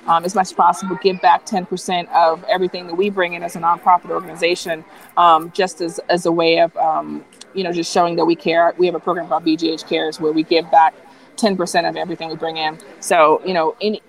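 A woman talks casually and with enthusiasm, leaning on "um" and "you know" as she goes. Her voice is wearing thin and slightly hoarse after a long day of talking. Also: on the low side, rough recording. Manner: relaxed, filler-heavy, enthusiastic. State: losing her voice. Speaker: founder of a nonprofit hacking group, guest. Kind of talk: casual live interview chat.

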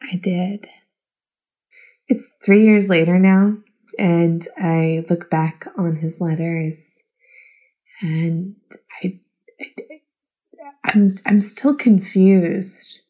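A woman telling a personal story and reading poems aloud in an intimate, reflective way, with halting pauses. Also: fairly low pitch, fairly even intonation, mellow. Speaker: listener contributor.

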